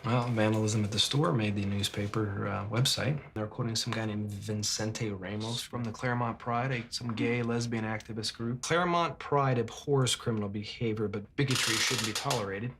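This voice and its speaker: A man's voice: lowish voice, fairly even intonation, moderate pace, few pauses, fairly bright tone. A defensive small-town husband and shop owner in a film drama. He sounds put-upon and plain-spoken, with some noise behind the dialogue. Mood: uneasy. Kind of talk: low-budget film drama acting.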